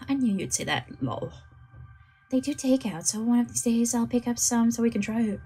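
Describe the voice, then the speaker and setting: A woman's voice: on the high side, normal speed, warm tone, husky, pitched above the performer's own voice. A woman voicing an easygoing young man from a visual novel, talking in a conversational way. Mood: casual. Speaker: VTuber voicing game characters.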